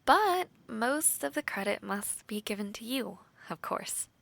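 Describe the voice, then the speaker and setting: Woman as earnest young female knight trainee, affectionate, hushed and halting, slow delivery, edge of vocal fry. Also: on the high side, lively intonation, no echo, heavily acted. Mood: warm, reflective. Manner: soft, intimate, often whispery with light laughs and hesitant restarts. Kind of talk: intimate audio roleplay.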